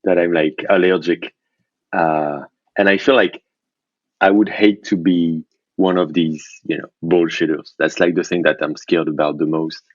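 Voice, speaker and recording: A man with a deep voice chats casually over a phone call. The sound is muffled and dry, with no room around it. He is candid and halting, often restarting his sentences. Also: fairly even intonation, dry recording. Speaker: podcast guest, indie software founder. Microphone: remote phone call.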